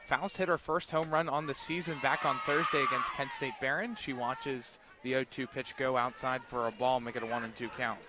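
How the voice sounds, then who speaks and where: A man doing live radio play-by-play of a softball game, calling the action in short, clipped phrases. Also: medium pitch, steady tone, moderate pace, hardly any pauses, very noisy. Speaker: play-by-play announcer.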